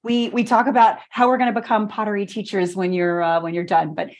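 A woman's voice: mid-range voice, level tone, a little fast, darkish tone. A woman hosting an interview in a conversational way, full of praise for her guest. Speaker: interview host.

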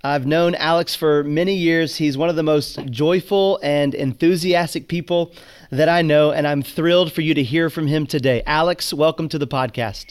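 A man's voice: on the high side, steady tone, moderate pace, smooth. A man hosting a podcast, introducing his guest and asking questions with frequent restarts. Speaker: podcast host. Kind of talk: podcast interview.

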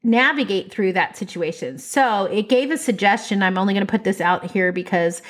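A woman co-hosting a podcast in casual chat, talking in a bold, expressive, emphatic way. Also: medium pitch, moderate pace. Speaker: podcast co-host.

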